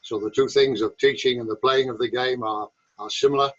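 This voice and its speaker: A man's voice: medium pitch, fairly even intonation, brisk, slightly rough. A man over seventy-five with an English accent, speaking as a veteran football coach in a reflective, conversational interview. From England. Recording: slightly dull, lo-fi sound.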